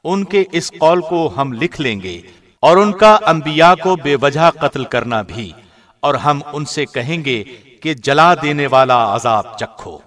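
A man giving a formal, scripted reading of a scripture translation in a measured, solemn manner. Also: a little high, lively intonation, fairly bright tone. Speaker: translation reader, radio host.

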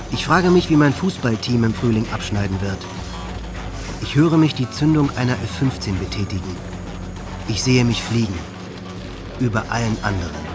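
A man's voice: medium pitch, lightly acted. A man playing a proud, troubled teenage boy who recites his own writings in a declamatory way in a radio drama. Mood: self-absorbed. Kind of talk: dramatised reading in a radio docudrama.

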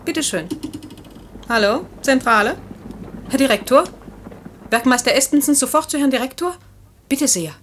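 A brisk female telephone operator in a 1950s German radio play. Her voice is on the high side, clipped and businesslike, with a pert streak. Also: expressive, a bit bright, a little hiss or hum.

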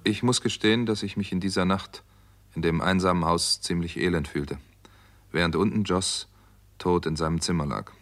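An actor playing a sober, wry doctor who narrates a 1960s German radio detective story in a measured, matter-of-fact way. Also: male voice, deep voice. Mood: sober, reflective. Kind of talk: 1960s German radio drama acting and first-person narration.

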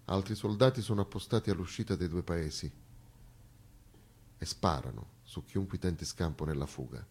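A man's voice: deep voice, normal speed, husky. A man performing as a grave storyteller, narrating history in an emphatic, theatrical stage-monologue style. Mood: hushed, grave. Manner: solemn, emphatic delivery swinging from declaimed to hushed. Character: grave male storyteller recounting a massacre with indignation.

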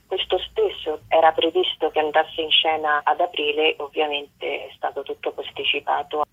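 A woman's voice: deep voice, slightly rough. A woman, interviewed over the telephone as a stage author and actress promoting her own show. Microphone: phone call. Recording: muffled, narrow telephone line.

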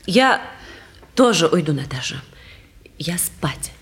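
A woman playing a wounded, resigned woman in a 1950s Russian stage drama, speaking in a husky, hushed, halting way. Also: on the low side, big pitch swings, heavily acted. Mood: weary. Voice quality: husky, breathy.

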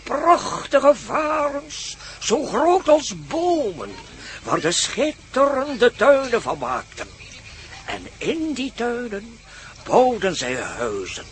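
An actor playing a solemn storyteller who recounts an ancient legend in a radio play, with deliberate, dramatic phrasing. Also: male voice, very high-pitched, wildly swooping pitch, slight background noise, exaggerated voice. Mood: wondrous, solemn.

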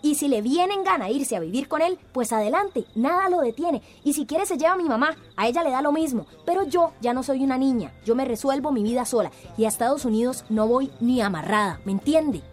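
An actress playing a defiant, fed-up young adult daughter in a radio drama. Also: female voice, sits high, lively intonation, a little fast, few pauses. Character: defiant, fed-up grown daughter, independent and sharp-tongued.

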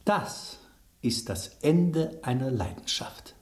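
A smug, teasing gentleman holds forth in a radio drama. His delivery is theatrical and highly animated, savouring every bit of suspense. The room rings slightly around him. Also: takes it slowly, echoey room. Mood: triumphant. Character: smug, teasing gentleman narrating a culinary revenge intrigue.

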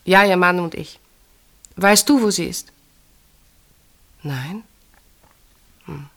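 Troubled woman hiding something in a radio drama; slightly low register, hesitant and evasive. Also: slow pace, fairly bright tone. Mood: worried. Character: guarded, troubled woman withholding a secret. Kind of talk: German radio play acting.

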